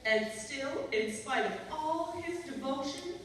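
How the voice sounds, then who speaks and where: A woman playing a self-important, gushing society matron with theatrical, drawn-out delivery in a staged old-time radio comedy. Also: high voice, lively intonation, a bit bright, full character voice. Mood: self-dramatizing. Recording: echoey hall from far off, faint noise.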